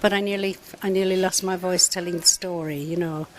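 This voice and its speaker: Irish woman, low register, relaxed raconteur who runs a pub. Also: brisk, a little hiss or hum. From Ireland. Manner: chatty, many "you know" asides. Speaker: pub owner. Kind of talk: relaxed storytelling interview.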